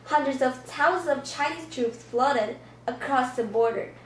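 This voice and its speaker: Young woman, higher-pitched, scripted amateur news delivery, roomy echo, faint noise. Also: measured pace. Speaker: student news anchor. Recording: echoey room, faint background noise.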